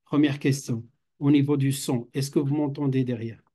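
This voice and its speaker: A man's voice: medium pitch, fairly even intonation, normal speed, warm tone, breathy edge. Man teaching a class. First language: Arabic. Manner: hesitant, many fillers and restarts, mixes Arabic phrases. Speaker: teacher at an Islamic institute. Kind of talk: classroom lecture. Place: classroom with students present.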